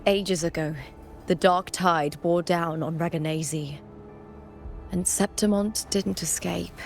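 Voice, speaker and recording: A low-pitched young woman warrior tells old lore in a video game. Her delivery is measured, solemn and reverent, with faint music underneath. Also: steady tone, vocal fry. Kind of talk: scripted game story dialogue.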